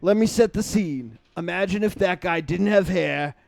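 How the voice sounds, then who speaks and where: A man on a casual podcast ribs his co-hosts with blunt, mock-grumpy jabs. His voice is a bit high and gravelly, with a lot of fry. He talks in loose, overlapping banter. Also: normal speed, a little mellow, no room sound, soft background music. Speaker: podcast co-host.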